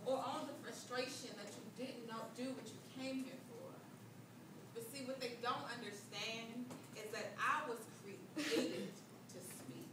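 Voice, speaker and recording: A woman performing a spoken word poem live on a club stage, rhythmic and building from hushed lines to loud declamation. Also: fairly high pitch, background noise. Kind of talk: slam poetry performance. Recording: echoey club recorded from far off.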